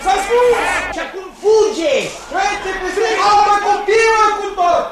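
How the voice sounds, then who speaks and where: An actor playing an excited man at court who shouts out what he sees in a 1960s radio drama. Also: very high-pitched. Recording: roomy old radio tape with crowd noise.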